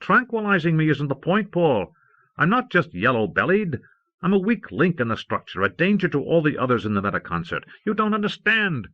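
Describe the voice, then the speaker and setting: Muffled, dry audiobook tape of an anxious, worn-out man performed by a male narrator. The voice is pushed higher than the reader's usual, agitated and pleading. He sounds full of self-doubt and stubbornly insistent. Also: sing-song, brisk, dry recording. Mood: anxious, defeated. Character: haggard, anxious, self-doubting man refusing his brother. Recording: muffled old cassette audiobook transfer.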